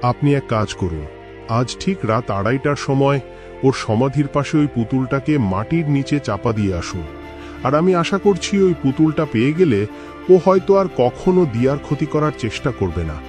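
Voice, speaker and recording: A man's voice: medium pitch, expressive, brisk, music in the background, natural voice. A man playing a seasoned, grave guru who reads spirits in a cartoon. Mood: grave, advising. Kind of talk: cartoon voice acting.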